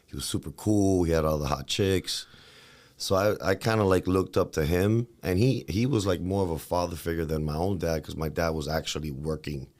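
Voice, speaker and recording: A man's voice: deep voice, moderate pace, darkish tone. A man from Miami, a fitness trainer, chatting loosely as a podcast guest with lots of fillers and restarts. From Miami, Florida, USA. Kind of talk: casual interview talk.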